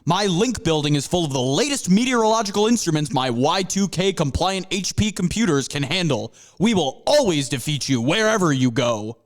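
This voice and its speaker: A heroic panther scientist, played by a man, who lectures his students with proud good cheer. His voice rises and falls in a lively way and sits a little high. It fits an amateur comedy audio drama. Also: normal speed, few pauses, close to an everyday voice. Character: cheerful male panther mascot scientist, a hero.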